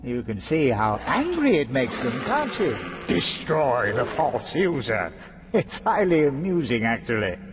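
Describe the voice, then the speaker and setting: An actor playing a gleeful, taunting trickster villain in a video game, with mocking, theatrical relish. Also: male voice, medium pitch, big pitch swings, a little slow, background noise. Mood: smug amusement. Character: gleeful, taunting male trickster schemer holding court.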